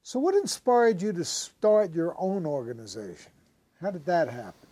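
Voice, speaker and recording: High-voiced male interview host. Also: very animated, moderate pace, mellow, breathy edge. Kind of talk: interview hosting.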